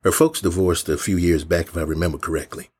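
An actor playing a calm, sceptical police chief with an informal, measured way of talking in an audio drama. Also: male voice, deep voice, slightly rough. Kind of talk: naturalistic audio drama acting.

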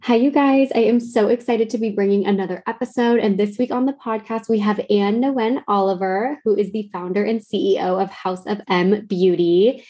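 Enthusiastic woman host, mid-pitch, chatty interview tone, faint music bed. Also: steady tone, normal speed, few pauses, warm tone. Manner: upbeat, enthusiastic. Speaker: podcast host. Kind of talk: scripted intro, then casual interview.

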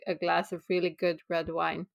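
A woman's voice: fairly low pitch, level tone, normal speed, vocal fry, muffled recording. Teasing, blunt woman podcast host. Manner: blunt, teasing, matter-of-fact. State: pregnant. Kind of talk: casual chat.

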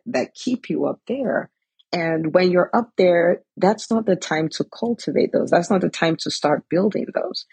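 A woman's voice: deep voice, dark tone, husky, no room sound. A woman in her forties giving an earnest motivational talk, full of "right?" and "like". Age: 40s. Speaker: coach for physicians in business.

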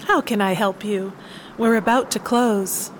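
A woman's voice: mid-range voice, steady tone, unhurried, a little hiss or hum, close to an everyday voice, low-quality recording. A woman playing an officious, protective receptionist at a spirituality center, polite but dismissive, in an audio play. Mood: politely officious.

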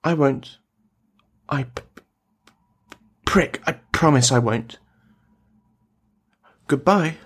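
A nervous, lovestruck man with a heavy stammer in a radio play. He speaks slowly and haltingly, in a fairly high, husky, breathy voice, always eager. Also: heavily acted. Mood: eager, obedient.

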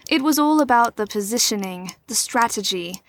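Audio drama mentor: woman with a notably high voice, formal and measured, poised and gently probing. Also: lively intonation. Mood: probing, lecturing. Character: poised, probing female mentor and chess player. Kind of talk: scripted audio drama acting.

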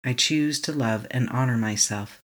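A meditation guide leading a tapping session, speaking slowly and calmly in short affirmations for the listener to repeat. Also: medium pitch. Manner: calm, slow, repeating affirmations to be echoed back.

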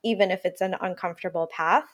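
A woman's voice: lowish voice, quick pace. A woman who grew up in Puerto Rico, a native Spanish speaker, talking reflectively as a yoga teacher guest on a podcast. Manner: reflective, thoughtful, uses fillers like "you know". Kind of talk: podcast interview.

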